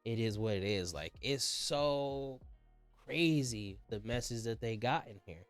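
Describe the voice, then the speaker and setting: A man's voice: mid-range voice, lively intonation, unhurried, dry recording. A man hosting a music reaction video in casual, slangy commentary, enthusiastic and often repeating himself.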